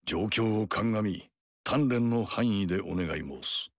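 An actor playing a dignified samurai general in a video game, speaking with formal, measured courtesy. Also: male voice, fairly low pitch, no echo, studio quality. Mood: earnest. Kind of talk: video game story dialogue.

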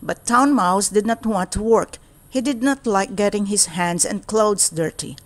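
A woman storyteller reading a children's storybook aloud in a deliberate, expressive way. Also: mid-range voice.

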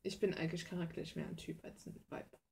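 A woman's voice: lowish voice, level tone, quick pace, gravelly, slight background noise. A young adult woman from Germany chatting casually as she works on her art, self-deprecating, blunt and slangy, recorded at a desk in a small room. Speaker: hobby artist and video creator. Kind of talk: casual rambling chat while painting.

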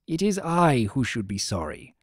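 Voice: mid-range voice, sing-song, moderate pace, dry recording, clean studio sound. A narrator voicing a theatrical, contrite male chaos creature with a formal, courtly manner.

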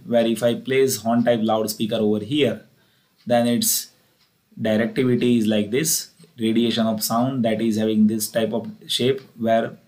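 Indian-accented man lecturing methodically. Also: mid-range voice. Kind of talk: explanatory technical lecture over slides. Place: voice-over for a screen recording.

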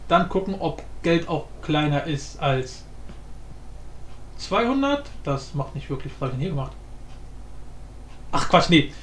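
A man hosting a casual screencast tutorial, thinking aloud in an informal, improvised way. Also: on the high side. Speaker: tutorial host. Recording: roomy echo, faint background noise.

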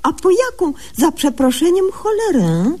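A woman's voice: high voice, wildly swooping pitch, measured pace, mellow, heavily acted. An actress playing a pompous young woman doctor in a humorous radio sketch, with mock-literary, garbled diction. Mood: inquisitive. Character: pompous, brisk young lady doctor narrating her diary.

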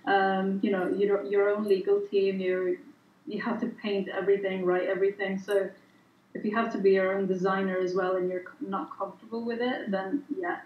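A woman's voice: mid-range voice, little pitch movement, normal speed. A woman whose first language is Italian, chatting on a panel with many fillers and restarts over a headset. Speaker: illustrator panelist. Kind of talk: casual panel chat. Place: home studio room. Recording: echoey room, dull video call audio.

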